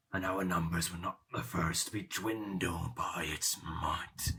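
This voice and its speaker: A man's voice: deep voice, vocal fry, bright, forceful tone, slight background noise, full character voice, rough recording. An actor playing an aging, boastful pirate captain who tells his tales in a grave, theatrical, rambling way for an audio drama. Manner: theatrical, deliberate, archaic seafaring speech.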